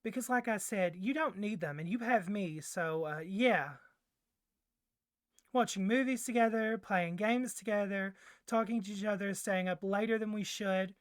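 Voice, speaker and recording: A woman voicing a doting, clingy young yandere boyfriend kidnapper in a soft ASMR roleplay, gushing and coaxing, with flustered hesitations. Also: medium pitch, moderate pace, no room sound, clean studio sound, close to an everyday voice. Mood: awkward, reassuring.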